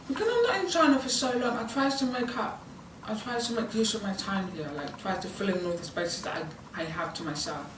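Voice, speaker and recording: A woman's voice: medium pitch, expressive, fairly quick, few pauses. A nineteen-year-old British woman whose first language is British Sign Language gives informal interview answers, hesitant and rambling with many ums and likes. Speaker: student intern giving an interview. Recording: echoey room, distant mic, background noise.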